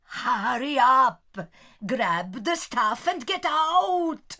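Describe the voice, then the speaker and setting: Elderly grandmother, high voice, unhurried pace, fond and conspiratorial, bold, audio drama. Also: big pitch swings, sharp tone, no room sound, clean studio sound, full character voice. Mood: urgent. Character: doting, conspiratorial old grandmother, sprightly and bold.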